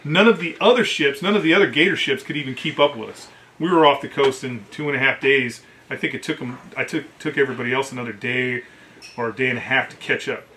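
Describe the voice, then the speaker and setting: A middle-aged American man, a veteran, casually telling profanity-laced service stories in an animated way, acting out what others said. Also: mid-range voice, quick pace, slight background noise, rough recording. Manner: animated, profane, acts out quoted dialogue. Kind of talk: casual anecdotal storytelling.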